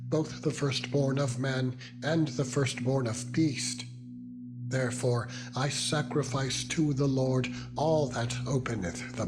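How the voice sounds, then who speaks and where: Slow, solemn male scripture narrator over music. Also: medium pitch. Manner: deliberate, measured, with weighty phrasing. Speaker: Bible narrator. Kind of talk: solemn dramatized scripture reading.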